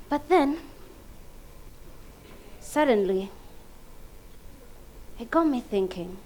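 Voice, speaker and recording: High-voiced Ugandan woman performing dramatically. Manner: emphatic, dramatic delivery with big swings between hushed and loud. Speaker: competition contestant performing a monologue. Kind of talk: theatrical comedic stage monologue.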